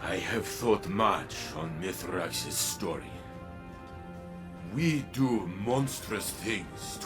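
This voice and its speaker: An actor playing a weary, remorseful veteran warrior, speaking in a grave, reflective, deliberate way in a video game monologue. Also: male voice, fairly low pitch.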